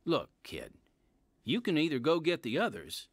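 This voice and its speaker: Firm, animated policeman. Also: fairly high pitch, moderate pace, breathy edge, no echo, studio quality, full character voice. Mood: firm, reasoning. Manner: firm, commanding. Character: firm adult male police officer, patient. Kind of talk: radio drama acting.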